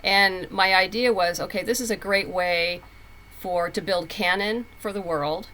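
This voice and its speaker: A woman's voice: medium pitch, a little slow, bright, forceful tone, a little hiss or hum. A woman talking conversationally as a writer being interviewed, telling stories and acting out quotes as she goes. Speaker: comic book writer and guest.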